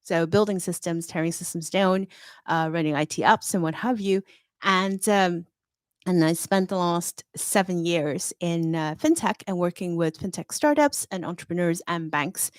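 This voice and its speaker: A middle-aged woman from Hong Kong talks as an interview guest, candid and confident, telling stories from her career. Her voice sits fairly low and the sound is dry and close. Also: normal speed, dry recording. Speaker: guest, fintech consultant. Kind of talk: interview conversation.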